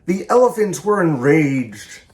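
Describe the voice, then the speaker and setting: A man, a children's author, reading a picture book aloud in an animated, theatrical and emphatic way. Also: a little high, unhurried. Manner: theatrical, emphatic, with varied delivery.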